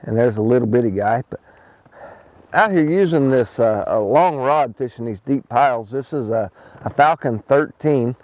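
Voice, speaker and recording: Middle-aged male angler, outdoors on a lake boat, relaxed instructional talk with frequent fillers. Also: medium pitch, normal speed, no echo. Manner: relaxed, explains as he fishes, many ums and restarts. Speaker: fishing pro. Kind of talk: casual how-to fishing talk.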